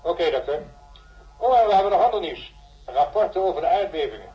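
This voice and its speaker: A man's voice: on the high side, animated, quick pace, dark tone, faint music behind. An actor playing a polite, deferential male assistant reporting over a radio or telephone link in a science fiction radio drama. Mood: dutiful, apologetic. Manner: polite, dutiful. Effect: radio or telephone filter. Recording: muffled radio-link sound.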